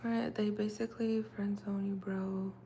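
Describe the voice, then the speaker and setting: A woman's voice: medium pitch, little pitch movement, measured pace, mellow. A young woman, a native Russian speaker, chatting casually on a livestream, rambling with lots of fillers. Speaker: livestream host. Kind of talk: casual livestream chat with viewers. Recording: faint background noise.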